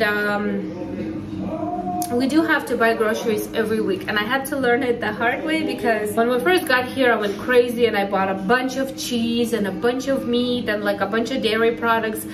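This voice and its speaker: A woman in her thirties chatting casually through a grocery haul vlog, relaxed with laughing asides, filmed on a phone in her home kitchen. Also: fairly high pitch, distant mic. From California. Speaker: family vlogger. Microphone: phone camera at arm's length. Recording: echoey kitchen, voice a bit off-mic.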